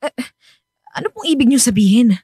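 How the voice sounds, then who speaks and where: High, emotional distressed young teacher. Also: female voice, sing-song, brisk, breathy edge, no room sound, studio quality, heavily acted. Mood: desperate pleading. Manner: emotional, pleading. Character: distressed young female teacher in a forbidden romance. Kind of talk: melodramatic radio drama acting. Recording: loud dramatic music bed behind.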